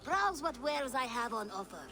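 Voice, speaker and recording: Shrewd merchant, lilting high voice. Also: female voice, big pitch swings, moderate pace, loud music behind the voice. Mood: sly, inviting. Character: shrewd, self-assured female merchant of a secret network. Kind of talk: video game voice acting.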